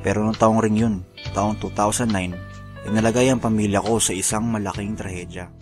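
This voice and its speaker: A man's voice: lowish voice, fairly even intonation, fairly quick, lightly acted. Male actor as jailed ex-migrant worker in his forties, sorrowful letter narration for radio drama, prominent music underneath. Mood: sorrowful, reflective. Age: 40s. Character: Naldo, 45-year-old imprisoned former overseas worker confessing his sorrows.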